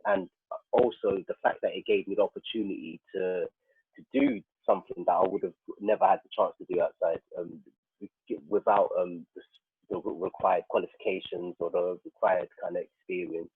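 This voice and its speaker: A man speaking haltingly as a guest in a panel conversation, reflecting personally and often repeating himself as he searches for words. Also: low voice, animated, creaky, slightly rough. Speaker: guest, prison radio producer. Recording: roomy, phone-like narrowband call.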